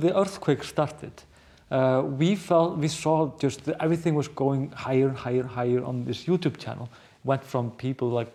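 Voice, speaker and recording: A man's voice: medium pitch, darkish tone. Man with a strong Icelandic accent. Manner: hesitant, many restarts, laughs often. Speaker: podcast co-host, journalist. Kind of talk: casual conversational storytelling.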